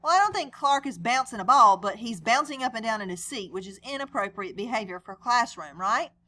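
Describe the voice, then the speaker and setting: A woman reads a children's story aloud in a lively, swooping voice. She is on the high, bright side and speaks conversationally, explaining and putting questions to young listeners. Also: a little fast. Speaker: read-aloud storyteller and teacher. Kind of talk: children's picture-book read-aloud with explanations.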